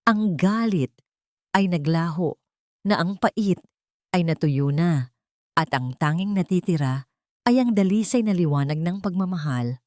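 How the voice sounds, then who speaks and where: A woman reading a scripted, sentimental story in a measured, solemn manner. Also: lowish voice, animated, darkish tone, no room sound, clean studio sound. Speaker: storyteller, narrator. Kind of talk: scripted dramatic story narration.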